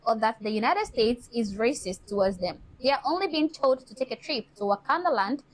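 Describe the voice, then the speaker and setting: Higher-voiced woman giving critical commentary. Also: lively intonation, a little fast. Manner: critical, formal wording. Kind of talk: commentary, fluent scripted-sounding delivery.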